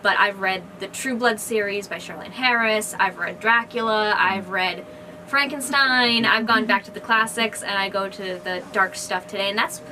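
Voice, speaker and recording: A woman's voice: medium pitch, moderate pace, pushed and bright. A woman author talking casually and enthusiastically about her work, in a rambling, informal way. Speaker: fantasy author. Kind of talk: casual book discussion. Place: indoors in a bookstore. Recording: noisy room recording, background ambience.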